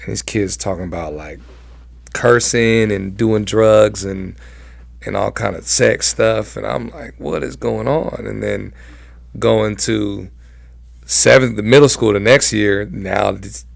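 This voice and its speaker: A young American man with a low-set voice and plenty of vocal fry. He tells personal stories in a loose, slangy, conversational way as a guest, captured dry with little room sound. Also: takes it slowly, dry recording. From Southern California, United States. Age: young adult. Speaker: interview guest. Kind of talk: casual personal storytelling.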